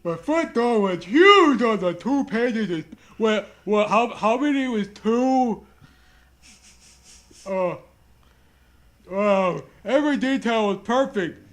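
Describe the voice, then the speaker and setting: A man's voice: sits high, expressive, slow, pauses often, fairly bright tone. A man reading a horror story aloud as comedy, with casual, joking banter and a readiness to laugh.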